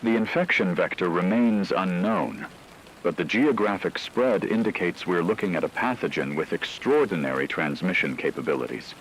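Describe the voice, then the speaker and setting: A man playing a grave radio news anchor, delivering a scripted breaking report in a formal, measured, factual manner. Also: low voice, lively intonation, slight background noise, poor audio. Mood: grave, controlled.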